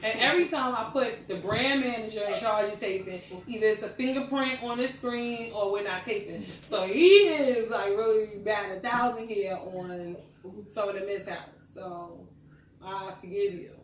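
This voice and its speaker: A woman's voice: medium pitch, moderate pace, distant mic. A woman hosting a loose chat show, talking at ease and laughing often, now and then turning preachy. She sits back from the mic in an echoey room. A faint hiss runs underneath. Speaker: podcast host. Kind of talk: casual group chat.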